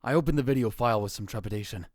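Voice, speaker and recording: A man's voice: mid-range voice, very fast, a little mellow, dry recording, clean studio sound, natural voice. A man playing a dramatic, paranoid young self-styled mad scientist in a visual novel fan dub, theatrical, brooding and self-important. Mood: brooding, suspicious. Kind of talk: visual novel fan dub, first-person narration and dialogue.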